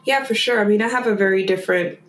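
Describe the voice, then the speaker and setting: A woman's voice: medium pitch, fast, reverberant, a little hiss or hum. A woman from Germany, a guest in a casual conversation, who talks in a chatty, rambling way full of fillers.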